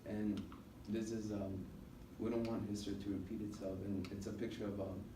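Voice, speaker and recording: A young American man gives a student speech in a hesitant, um-filled way. His pitch is a bit low and stays quite flat. He is recorded from across a roomy space with clear background noise. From United States. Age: young adult. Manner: halting, hesitant delivery with many filled pauses. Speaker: college student giving a speech class presentation. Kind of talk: informal classroom presentation speech. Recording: roomy, noisy classroom recording from a distance.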